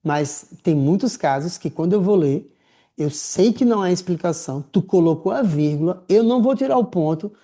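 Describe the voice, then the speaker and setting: A man teaching a writing class in a lively, slangy, chatty way, calling his students affectionate names. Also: high voice, normal speed. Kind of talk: casual recorded lecture.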